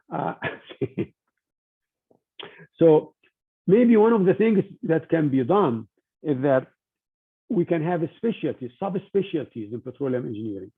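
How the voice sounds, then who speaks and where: Older guy from egypt lecturing students, raspy gravelly voice, lots of uh and restarts, tinny line. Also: fairly high pitch. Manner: halting, many restarts and fillers. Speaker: guest speaker.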